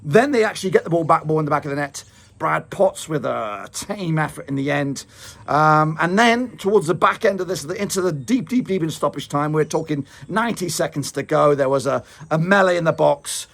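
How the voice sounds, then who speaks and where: A man with a gravelly, slightly raised voice rambles excitedly through a football match reaction, full of fillers and restarts. His voice is wearing thin. The sound is close and dry, with no room around it. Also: fairly high pitch, fairly quick, dry recording. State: losing his voice. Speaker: football fan vlogger. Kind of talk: casual match reaction to camera.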